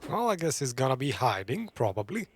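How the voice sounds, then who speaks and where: A man's voice: mid-range voice, sing-song, brisk, background noise. A man giving casual, playful commentary over a game, joking along with it. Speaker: gaming video creator.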